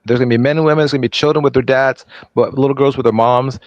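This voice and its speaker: Man, brisk dismissive panelist, quick talker, dry muffled audio. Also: medium pitch, fairly even intonation, no room sound. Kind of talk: casual debate.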